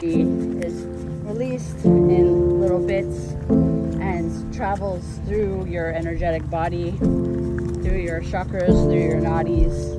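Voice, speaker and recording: A woman in casual chat, speaking in a halting, meandering way as she searches for her words. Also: fairly low pitch. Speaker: host. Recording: music playing over the voice.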